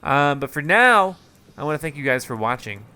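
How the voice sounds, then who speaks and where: A man's voice: on the high side, big pitch swings, moderate pace, pushed and bright, clear, resonant tone. A man with a tired, strained voice casually commenting on gameplay, thinking aloud with lots of fillers. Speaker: gaming video host.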